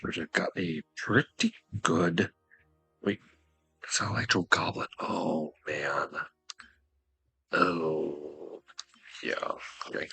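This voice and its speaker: Low, husky, gravelly man muttering over gameplay. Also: pushed and bright, dry recording, soft background music. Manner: halting, muttering to himself. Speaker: gaming video host. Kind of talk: casual gameplay commentary, thinking aloud.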